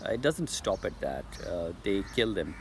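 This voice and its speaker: A man's voice: sits low, sing-song, moderate pace, background noise. A man from Pakistan with a Pakistani English accent, speaking straight to camera in an earnest, insistent monologue. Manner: earnest, insistent, addresses Americans directly. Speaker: political commentator.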